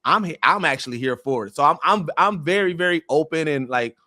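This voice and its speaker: A man's voice: a little high, lively intonation, fairly quick, bright, forceful tone, no room sound. Man chatting casually, close dry sound. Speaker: podcast panelist. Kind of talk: casual panel chat.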